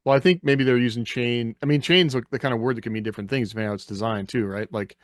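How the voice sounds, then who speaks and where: A man's voice: medium pitch, fast talker, no room sound, studio quality. A man chatting informally as a panelist on a podcast, in a loose conversational style. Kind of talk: casual chat.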